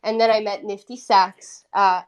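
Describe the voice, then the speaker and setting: A woman's voice: medium pitch, fairly even intonation, a little slow, smooth. Woman chatting casually. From Italy. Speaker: guest, independent musician. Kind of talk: casual conversational storytelling.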